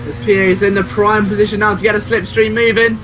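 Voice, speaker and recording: A man giving excitable, exclamatory live play-by-play commentary on a motor race. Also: high-pitched, quick pace. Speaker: sim racing race commentator. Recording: clear car engine noise behind. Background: racing car engines.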